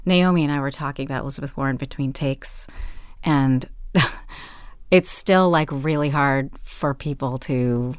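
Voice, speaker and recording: A woman's voice: extremely low pitch, a little slow, clear, resonant tone, no room sound, clean studio sound. A woman guest reflecting emphatically in a conversational panel discussion.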